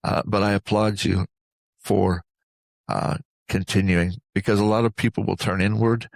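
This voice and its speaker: A man hosting a reflective interview, speaking earnestly and deliberately in short phrases with pauses between them. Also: lowish voice, steady tone, creaky, no echo. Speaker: interview host.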